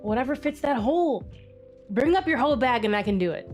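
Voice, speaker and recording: A woman's voice: high voice, lively intonation, brisk, soft background music. A woman hosting a live drawing stream, chatting casually, laughing often and swearing freely, a bit sniffly from a head cold. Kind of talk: casual chat while drawing.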